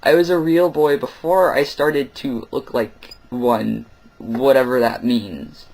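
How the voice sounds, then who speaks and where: A young man records a casual vlog at home in the middle of the night. He talks candidly and with a wry edge, filling his pauses with ums and uhs. His voice sits at a middling pitch for a man. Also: creaky, darkish tone, slightly rough, poor audio. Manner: candid, rambling with many fillers, wry. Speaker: vlogger.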